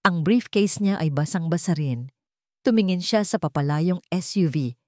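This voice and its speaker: Mellow woman narrating a dramatic story. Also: on the low side, normal speed, no room sound, clean studio sound.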